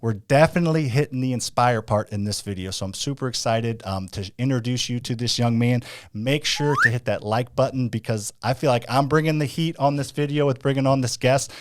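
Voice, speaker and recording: A man hosting an interview, talking in an energetic, hyped-up way. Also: mid-range voice, a little fast. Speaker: interview host. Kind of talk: enthusiastic interview chat.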